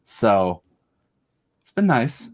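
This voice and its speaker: A man's voice: mid-range voice, very animated, drawn-out words. A man from the southern United States chatting casually as a podcast guest, joking and telling personal stories.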